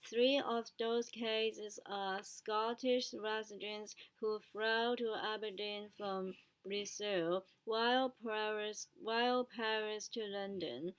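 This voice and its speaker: A woman who is a native Chinese speaker learning English, reading news aloud haltingly and repeating words to practise. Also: mid-range voice, clean tone, low-quality recording.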